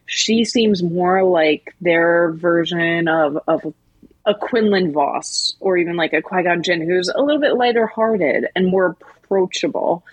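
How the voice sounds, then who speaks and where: Husky-voiced woman co-hosting a podcast. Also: lowish voice, measured pace, no room sound, dull audio. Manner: easygoing, qualifying her points. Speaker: podcast co-host. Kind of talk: casual discussion podcast.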